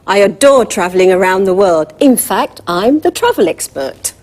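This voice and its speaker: An enthusiastic travel expert from Ghana, played by a woman, with a medium-pitched voice. She speaks in scripted educational TV dialogue. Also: animated, moderate pace, a little mellow, close to an everyday voice.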